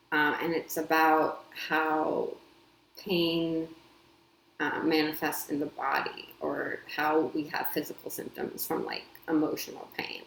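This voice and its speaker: Woman, thirties, low pitch, echoey room; casual vlog chat, relaxed, filler-heavy. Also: creaky, slight background noise. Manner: chatty, frequent ums and small laughs. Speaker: copywriter and editor. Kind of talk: casual talk to camera. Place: home. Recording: echoey room with faint ambience.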